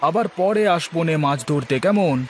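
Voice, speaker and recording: A man voicing a cheerful, kindly village fisherman in a moral-tale cartoon, chatty and animated in a folksy way. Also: fairly high pitch, moderate pace, a little hiss or hum, natural voice. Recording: constant instrumental music behind the voice.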